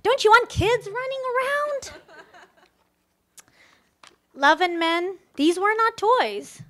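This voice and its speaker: A woman's voice: extremely high pitch, expressive, takes it slowly, a bit bright. A woman giving a wedding speech to guests, partly reading a rhyming storybook in a playful, theatrical way. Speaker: wedding speech giver, friend of the bride. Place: live in front of wedding guests.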